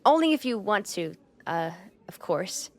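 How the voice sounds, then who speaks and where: A woman's voice: medium pitch, sing-song, unhurried, darkish tone. Shy, flustered young woman, acted by a woman. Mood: flustered, grateful. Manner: hesitant, self-conscious rambling with nervous giggles. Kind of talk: roleplay ASMR monologue spoken to the listener.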